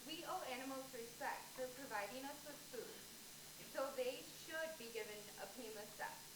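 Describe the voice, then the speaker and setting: Woman as older scientist droid, earnest recitation, high voice, distant, noisy. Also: normal speed, close to an everyday voice. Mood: earnest, factual. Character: sixty-four-year-old American woman scientist droid, earnest. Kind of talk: staged reading monologue. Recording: distant echoey room, clearly noisy.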